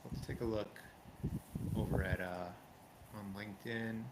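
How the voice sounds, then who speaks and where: A man's voice: fairly low pitch, fairly even intonation, a little slow, noisy background. A man hosting a casual livestream, friendly and chatty, laughing often. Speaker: livestream host. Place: home, now living in Spain.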